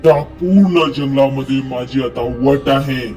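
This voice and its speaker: A man's voice: mid-range voice, unhurried, music in the background, close to an everyday voice. A man voicing a conceited wolf who bullies the jungle in a children's cartoon, bossy, menacing and smug. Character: swaggering conceited male wolf, jungle bully. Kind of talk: children's cartoon story acting.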